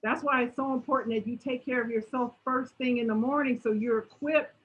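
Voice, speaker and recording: Woman coaching an audience, strong husky voice, pretty high, muffled lo-fi sound, punchy and animated. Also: fairly quick. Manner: emphatic, animated, repeats "right?". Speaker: speaker answering audience questions. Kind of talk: motivational Q&A talk.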